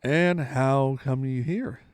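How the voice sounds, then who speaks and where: A man's voice: mid-range voice, slow pace, a little mellow, clear, resonant tone, no echo, lightly acted. A man voicing a gracious, generous king in a storybook, with stately, archaic speech.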